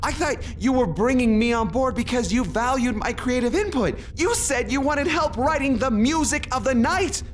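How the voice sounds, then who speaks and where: A woman's voice: high voice, lively intonation, moderate pace, few pauses, slight background noise. A woman playing a sarcastic, incredulous young opera soprano in an audio comedy sketch. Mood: hurt, incredulous.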